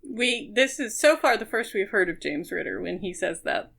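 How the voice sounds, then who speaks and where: Creaky-voiced woman chatting frankly. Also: medium pitch, expressive, normal speed, fairly bright tone. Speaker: podcast co-host. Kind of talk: casual book discussion.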